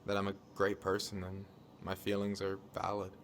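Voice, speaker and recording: A man's voice: sits low, monotone, normal speed, a little hiss or hum, natural voice. A man playing a laid-back, supportive young American friend in a video game, colloquial and reassuring. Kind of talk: naturalistic video game dialogue.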